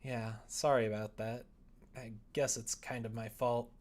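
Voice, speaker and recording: A man's voice: medium pitch, level tone, measured pace, darkish tone, lightly acted. A man voicing a polite, apologetic visual novel protagonist who manages idols, mild and earnest.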